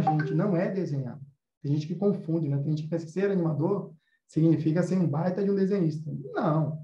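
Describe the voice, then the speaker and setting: A Brazilian man teaching and hosting in a casual, explanatory way. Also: a little high. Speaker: host, animation course teacher. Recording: roomy, slightly dull sound.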